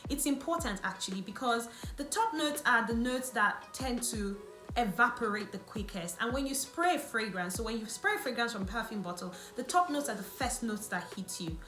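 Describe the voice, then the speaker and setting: A woman's voice: fairly high pitch, animated, moderate pace, bright, forceful tone. A woman beauty and lifestyle vlogger, whose first language is not English, explaining things to camera in a chatty, self-correcting way. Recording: echoey room with faint music.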